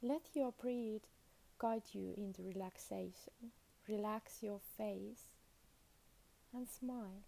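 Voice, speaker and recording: Slow, creaky-voiced woman guiding meditation. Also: medium pitch, dark tone, a little hiss or hum. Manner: soothing, unhurried, with long pauses between short phrases. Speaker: meditation and yoga guide. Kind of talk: guided meditation.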